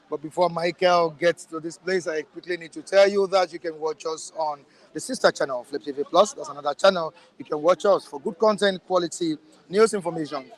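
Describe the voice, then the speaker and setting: A man hosts a live interview outdoors, his voice sitting a little high. He talks haltingly and fills his pauses with "uh" and "you know.". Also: rough recording. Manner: halting, many fillers. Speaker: interview host.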